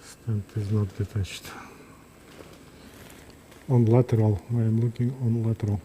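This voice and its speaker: Slow, dark-voiced man. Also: on the low side, slight background noise. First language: Kazakh. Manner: calm, halting, thinking aloud step by step. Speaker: surgeon. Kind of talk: live commentary during a streamed procedure. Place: operating room.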